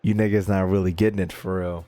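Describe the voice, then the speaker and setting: A man's voice: deep voice, little pitch movement, fast, warm tone. A young man doing casual livestream reactions to a game, joking in slang and repeating phrases for effect. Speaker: game streamer. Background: game music.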